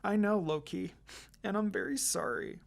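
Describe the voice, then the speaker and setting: A reader plays an emotional young girl in a fan fiction story. She sounds soft and hesitant, apologetic and eager to please. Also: medium pitch, moderate pace, creaky, lightly acted.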